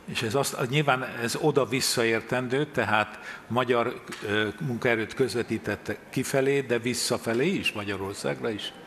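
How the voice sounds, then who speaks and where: A man in his sixties moderating a conference panel, talking slowly and conversationally with joking asides. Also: medium pitch, fairly bright tone, slight background noise. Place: conference stage, indoors.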